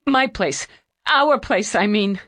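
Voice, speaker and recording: A man's voice: very high-pitched, moderate pace, sharp tone, pitched above the performer's own voice. A woman voicing a skinny young man in his late twenties, crying and breathless, his words tumbling over each other. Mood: shaky. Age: late 20s.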